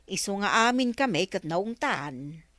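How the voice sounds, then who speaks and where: A woman's voice: mid-range voice, expressive, measured pace, a bit bright, no room sound. A woman with an Ilocano accent playing a wary, gossip-loving village councilwoman in a radio comedy drama. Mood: grumbling.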